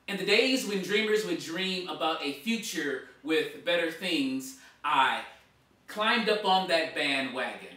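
An animated man in his thirties talks casually to camera from a dining room, his voice bright and forward. The mic sits some way off, so his voice carries a strong bouncing room echo. His delivery is lively and conversational. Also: on the high side. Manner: animated, gesturing with both hands. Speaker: blogger and author. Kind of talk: casual vlog talk to camera. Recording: echoey room from far off.

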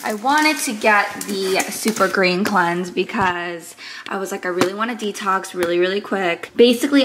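A young woman in her twenties chatting to a handheld camera at arm's length, a little raspy from just waking, upbeat with many fillers. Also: medium pitch, background noise. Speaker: vlogger. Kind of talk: casual vlog chat to camera. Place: apartment rooms, gym and car. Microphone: handheld fisheye action camera at arm's length.

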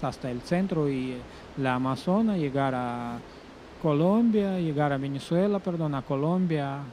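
A middle-aged man tells his own travel story at a very slow, unhurried pace, pausing often and correcting himself as he goes. He speaks into a stand mic out on a terrace above a beach. Music plays clearly behind his voice. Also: medium pitch, a little mellow, poor audio. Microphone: stand mic in front of him. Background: music playing behind.

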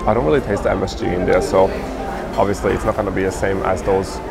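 A man's voice: deep voice, steady tone, fast talker, vocal fry, loud music behind the voice. A young man casually reviewing buffet dishes as he eats, filmed close on a handheld camera in a big restaurant. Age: young adult. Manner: chatty, eats while reviewing each dish. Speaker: food vlogger. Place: large indoor buffet restaurant. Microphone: handheld camera close to face.

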